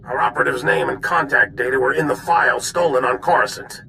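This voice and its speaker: An actor playing a weary, grave older general who gives deliberate military briefings in a video game. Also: male voice, medium pitch, creaky, pushed and bright, a little hiss or hum. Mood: grave, confiding. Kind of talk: dramatic video game dialogue.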